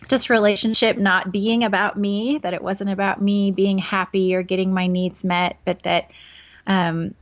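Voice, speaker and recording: A woman's voice: lowish voice, gravelly. Earnest woman in her forties, lo-fi. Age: 40s. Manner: earnest, reflective, many ums. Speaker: podcast guest. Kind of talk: casual podcast interview.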